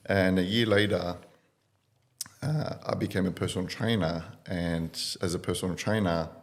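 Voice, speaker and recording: A man from Iraq in his thirties, a guest on a podcast, telling his life story in a relaxed way with many fillers. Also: sits low, normal speed. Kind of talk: casual interview talk.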